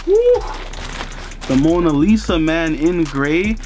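A man's voice: on the high side, wildly swooping pitch, slow, a little mellow, a little hiss or hum. Laid-back young man chatting casually. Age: young adult. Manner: laid-back, chatty, lots of "you know" fillers. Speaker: sneaker and streetwear vlogger. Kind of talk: casual unboxing vlog. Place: bedroom with sneaker boxes, indoors.

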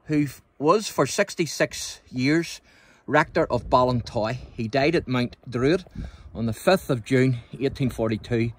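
A man's voice: mid-range voice, unhurried. A man with a Northern Irish accent giving a chatty, informal walking tour as a local history guide, speaking outdoors into a handheld phone. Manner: chatty, addresses the viewer with tag questions. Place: outdoors in a churchyard by the sea. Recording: outdoor phone recording with background noise.

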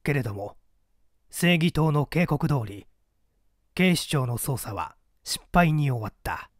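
抑揚豊かな男性の朗読. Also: male voice, on the high side, animated, a little slow, no room sound, studio quality. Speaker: story reader. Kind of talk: scripted literary narration.

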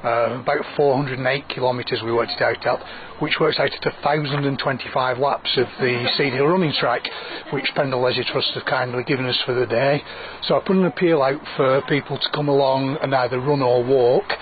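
A man's voice: medium pitch, steady tone, brisk, a little hiss or hum. A man, an event organiser talking informally in an outdoor interview. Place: outdoors under canopy by running track. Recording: thin phone-like sound, crowd chatter behind.

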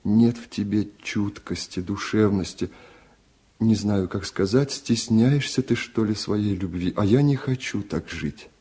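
A man's voice: on the low side, a little slow, mellow, breathy edge. A man voicing a hurt, earnest, reproachful wife in a 1970s radio literary reading. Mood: reproachful, pleading. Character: hurt, reproachful wife longing for open love.

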